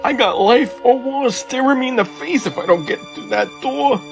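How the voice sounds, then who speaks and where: A man's voice: extremely high pitch, lively intonation, normal speed, gravelly, soft background music, pitched above the performer's own voice, exaggerated voice. A man doing a desperate street-tough fugitive. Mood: threatening. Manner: tough street slang, frantic. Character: desperate young male fugitive on the run.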